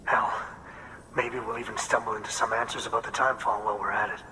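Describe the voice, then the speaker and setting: An earnest farmer-scientist from a video game, full of hope for his fields. His voice sits low and sounds strikingly bright and forceful. A faint hiss sits under him. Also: little pitch movement, quick pace, long reverb tail, low-quality recording. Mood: hopeful. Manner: earnest, hopeful. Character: hopeful middle-aged male farmer-scientist. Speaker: farmer and researcher.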